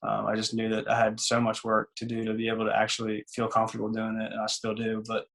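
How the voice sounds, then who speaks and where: Young man, raspy gravelly voice, casual rambling chat, interview guest. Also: on the low side, monotone, fast, a bit bright. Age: 20s. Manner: rambling, loose, with many fillers and restarts. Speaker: interview guest, singer and former college baseball player.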